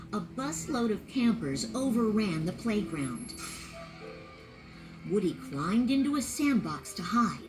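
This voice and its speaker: Expressive woman reading a storybook. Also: mid-range voice, low-quality recording. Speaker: narrator. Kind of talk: read-along storybook narration.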